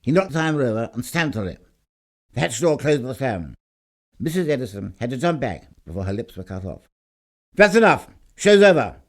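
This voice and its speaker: A man's voice: mid-range voice, expressive, fairly quick. A man reading a scripted short story aloud in a theatrical, emphatic storytelling manner. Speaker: story reader.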